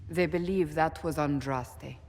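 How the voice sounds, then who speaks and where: A woman playing a stern, resolute fantasy game warrior, speaking in a firm, measured and formal way. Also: sits low, a little mellow. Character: stern, resolute female warrior, blunt and guarded. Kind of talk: fantasy game cutscene acting. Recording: long hall reverb.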